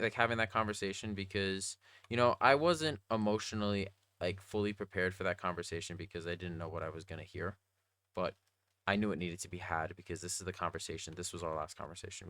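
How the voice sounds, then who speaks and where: A young adult man with a slightly raspy voice, talking casually and earnestly in a rambling, slangy video diary. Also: low voice, fairly bright tone, no room sound. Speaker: vlogger.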